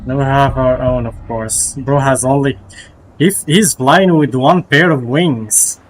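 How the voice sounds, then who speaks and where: A man streaming casual, slangy live reactions to a game, haltingly repeating himself. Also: medium pitch, a little hiss or hum, rough recording. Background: game music and sound.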